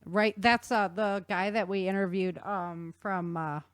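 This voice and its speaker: A woman's voice: mid-range voice, fairly even intonation, a little slow, clean tone, no room sound, studio quality. A woman hosting a casual conversation, speaking agreeably and informally. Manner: agreeable, brief backchannel responses. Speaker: podcast host. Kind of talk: casual chat.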